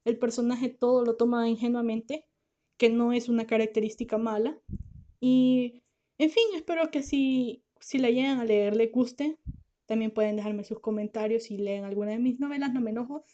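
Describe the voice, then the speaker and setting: A woman's voice: a little high, steady tone, moderate pace, dark tone, dull audio. A woman podcast host, a lawyer and author, talking casually and earnestly to her listeners; she is tired, finds it hard to talk and can't pronounce her R well. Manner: earnest, reassuring, speaks directly to listeners.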